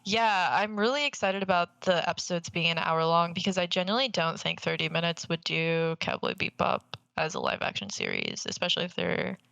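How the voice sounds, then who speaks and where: Creaky, bright-voiced woman podcaster. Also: lowish voice, a little fast, hardly any pauses, no room sound. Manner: excitable, informal, swears freely. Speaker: podcast co-host. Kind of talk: casual enthusiastic chat about anime.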